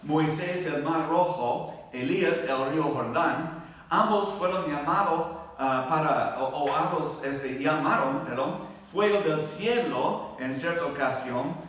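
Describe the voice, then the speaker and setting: A man with a foreign accent, not a native Spanish speaker, teaching a church Bible class in a halting way, searching for words and checking with his listeners. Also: a little high, fairly bright tone. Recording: very echoey room, distant microphone.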